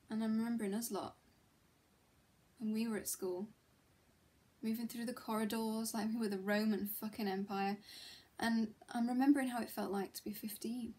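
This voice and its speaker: A woman acting a dramatic monologue as a miserable, disillusioned schoolteacher, confiding and emotionally intense, with halting delivery. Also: on the high side, steady tone, a little mellow, natural voice. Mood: quiet, reflective. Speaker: actor performing a monologue.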